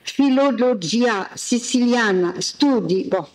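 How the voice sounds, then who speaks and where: A woman moderator reading a speaker's biography from notes at a desk mic, with pauses and fillers. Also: on the high side, lively intonation, darkish tone, low-quality recording. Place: ornate hall indoors. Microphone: desk gooseneck mic.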